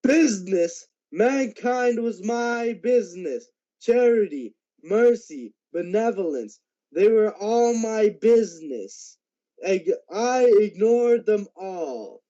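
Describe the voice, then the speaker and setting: A teenage male actor playing the mournful, remorseful ghost of a businessman in a radio drama, with a drawn-out, weighty delivery. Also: high voice, lively intonation, dark tone. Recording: dull lo-fi amateur recording.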